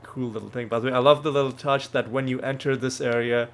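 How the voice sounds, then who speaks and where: A man's voice: medium pitch, fairly even intonation, quick pace, a little hiss or hum. A man hosting a let's play, explaining the game in a rambling, self-correcting way, with a slightly nasal voice as he gets over a cold. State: recovering from a cold. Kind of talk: casual gameplay commentary. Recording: faint background music.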